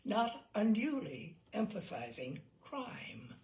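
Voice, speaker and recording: A woman voicing a blunt veteran male crime reporter, speaking dry and deliberate. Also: mid-range voice, very animated, a little mellow.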